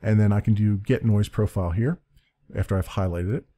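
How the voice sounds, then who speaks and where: Deep-voiced man rambling through a tutorial. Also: steady tone, fairly quick, dark tone. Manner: rambling and self-correcting, with small laughs. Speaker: let's play creator explaining his audio setup. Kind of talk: casual screen-recorded tutorial. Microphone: desk-mounted condenser mic with pop filter.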